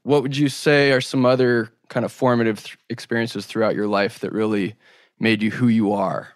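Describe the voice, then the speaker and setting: A man's voice: lowish voice, fairly even intonation, moderate pace, no room sound, studio quality. A man hosting a podcast interview, speaking conversationally in a thoughtful, articulate way.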